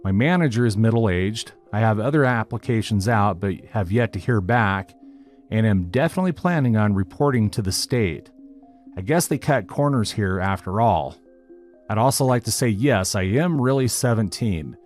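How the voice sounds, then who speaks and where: A man narrating a written online story in a steady, even reading style. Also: fairly low pitch, normal speed. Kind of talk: scripted narration of a reddit post. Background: faint background music.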